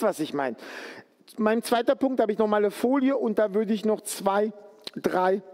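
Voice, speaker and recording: A man's voice: sits high, a little mellow, big-room sound. A man from Turkey preaching a sermon in an earnest, conversational way. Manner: earnest, conversational, pleading at times.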